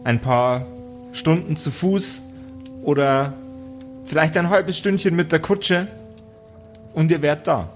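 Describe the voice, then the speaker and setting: A man narrating an improvised tabletop game as game master, in a deliberate way with pauses. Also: a little high. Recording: music under the voice. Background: music.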